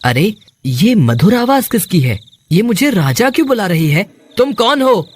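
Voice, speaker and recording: A man's voice: high-pitched, sing-song, unhurried, full character voice. A man playing a worried, dutiful younger brother from a village, earnest and exclaiming, in a cartoon horror story. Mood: anxious, searching.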